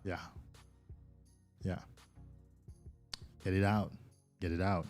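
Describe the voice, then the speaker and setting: Man, low warm voice, casual live-stream host, enthusiastic, repeats himself, faint music behind. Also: flat delivery, takes it slowly. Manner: loose and enthusiastic, stumbling over repeated words. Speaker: self-improvement coach hosting a live stream.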